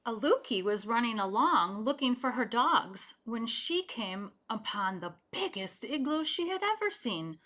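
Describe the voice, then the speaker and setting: A woman reads a picture book aloud to children. Her pitch moves in an animated way and her pace is leisurely. She speaks directly to young listeners, explaining and asking them questions. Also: unhurried, hardly any pauses. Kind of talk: read-aloud storytelling with chatty asides.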